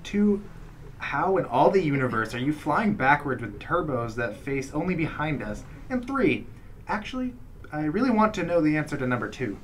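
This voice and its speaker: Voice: medium pitch, very animated, moderate pace, slight background noise, natural voice. An actor playing an exasperated, nagging spaceship sidekick, scolding and incredulous, in a comic radio play.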